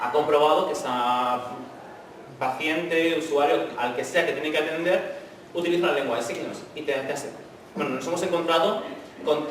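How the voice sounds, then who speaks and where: A man gives an informal conference lecture, often checking in with the audience with tag questions. His voice sits a little high. He is recorded from a distance in a very echoey hall, with faint room noise behind him. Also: a bit bright. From Spain. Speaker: conference speaker. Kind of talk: university lecture talk.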